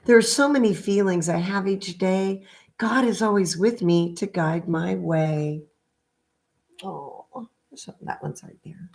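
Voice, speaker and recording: Middle aged lady reading aloud gently, real slow, kinda low voice, chatty little asides. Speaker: spiritual healer reading a picture book aloud.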